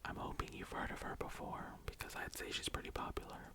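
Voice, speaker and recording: A young adult ASMR host speaking and reading poetry in a breathy, gentle whisper, lingering on the words. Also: brisk.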